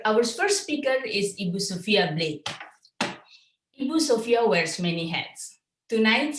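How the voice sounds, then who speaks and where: A woman hosting an online event, introducing the next speaker in a friendly, slightly halting way. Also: mid-range voice, a little hiss or hum. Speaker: webinar host. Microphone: video call. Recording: roomy, from far off the mic.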